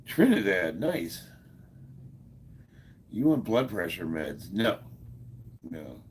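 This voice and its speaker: Gravelly deep-voiced man in his fifties. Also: sing-song, slow, slight background noise. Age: 50s. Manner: laid-back, chuckles often, many fillers. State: stuffed up. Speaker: livestream host. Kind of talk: casual livestream chat, reading viewer comments.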